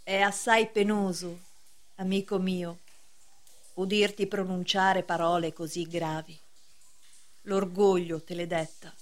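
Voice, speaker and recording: Slow, solemn female preacher. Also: lowish voice, little pitch movement. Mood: grave, reproving. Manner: measured, declamatory, sermonizing. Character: solemn, severe female preacher, cryptic gatekeeper of justice. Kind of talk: theatrical radio drama acting.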